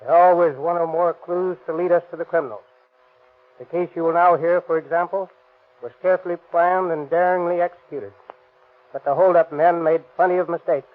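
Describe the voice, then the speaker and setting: A man's voice: a little high, steady tone, a little fast. An American man, a police officer, giving a stern, formal scripted address on 1930s radio. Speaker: police staff officer, guest speaker. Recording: tinny 1930s radio recording.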